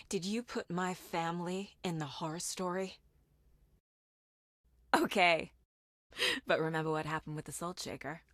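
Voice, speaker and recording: A woman's voice: sits low, no echo, clean studio sound. An actress voicing a determined, intense woman FBI agent in dramatic video game dialogue. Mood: hushed, accusing, then grim, resolute.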